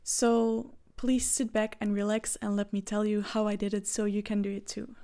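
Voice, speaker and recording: A woman's voice: mid-range voice, level tone, moderate pace, dark tone. A woman in her twenties from Belgium, a native Dutch speaker with a Belgian Dutch accent, telling her own story to camera in an earnest, easygoing way. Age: 20s. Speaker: vlogger.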